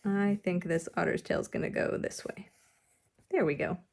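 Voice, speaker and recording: A woman leading a drawing lesson, explaining each step simply and with gentle encouragement, as if to children. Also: fairly low pitch, steady tone, moderate pace, gravelly. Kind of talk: instructional demonstration, step-by-step.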